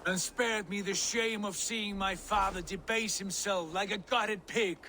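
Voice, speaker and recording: Scornful Viking warrior, forceful voice. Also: male voice, high voice, steady tone, unhurried, slight background noise. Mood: bitter. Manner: contemptuous, formal, declamatory. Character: bitter, proud Viking warrior son, scornful and grandiose. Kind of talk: dramatic video game acting.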